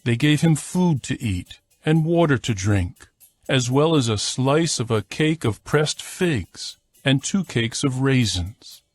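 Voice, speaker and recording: A man narrating scripture from a script in a solemn, measured storytelling manner. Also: medium pitch, expressive, dry recording.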